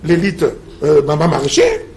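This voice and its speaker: A man's voice: a little high, wildly swooping pitch, moderate pace, vocal fry, noisy background. A man, a professor lecturing emphatically in a TV debate. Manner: emphatic, lecturing, repeats slogans.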